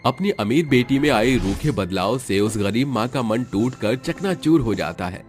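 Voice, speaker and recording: A man's voice: medium pitch, expressive, normal speed. A man reading scripted, dramatic storytelling narration. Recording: music under the voice.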